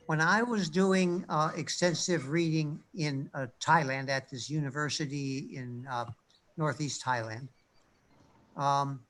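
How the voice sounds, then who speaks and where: A man on a discussion panel, telling anecdotes in a thoughtful way with frequent pauses and fillers. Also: on the high side, a bit bright, slight background noise. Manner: thoughtful, many fillers, storytelling. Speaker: panel speaker, reading researcher.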